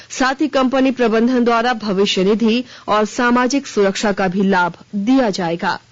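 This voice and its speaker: A woman reading a scripted regional radio news bulletin in a formal, measured manner. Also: on the high side, dry recording. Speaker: news reader. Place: dry radio studio. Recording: dull, band-limited radio broadcast.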